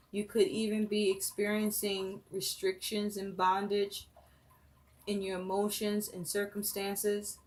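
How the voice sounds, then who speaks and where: A woman giving a tarot reading for her viewers, listing phrase after phrase in a slow, measured way. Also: medium pitch, low-quality recording. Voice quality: voice rough and breaking, coughing. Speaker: tarot reader.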